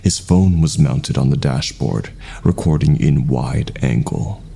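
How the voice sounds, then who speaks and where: A man's voice: extremely low pitch, measured pace, vocal fry, dark tone, a little hiss or hum. A man reading a scripted first-person horror story as its narrator.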